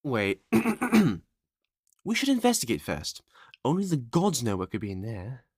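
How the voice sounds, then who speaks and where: A woman's voice: a bass voice, big pitch swings, a little slow, darkish tone, husky, no room sound, clean studio sound, exaggerated voice. A woman playing a refined, cautious space princess in a sci-fi roleplay, with formal, courtly speech. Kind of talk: ASMR audio roleplay acting.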